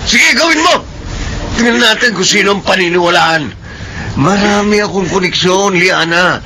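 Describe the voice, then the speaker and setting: A man playing a cruel, boastful lover in a radio drama, loud, menacing and taunting. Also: high-pitched, lively intonation, moderate pace, slight background noise, exaggerated voice. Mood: taunting, gloating.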